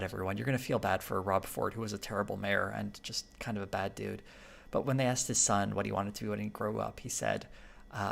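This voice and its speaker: Guy streaming, raspy low voice full of vocal fry, lots of ums and uhs. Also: fairly bright tone. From Canada. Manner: rambling, many fillers and restarts. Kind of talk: casual live-stream chat with viewers.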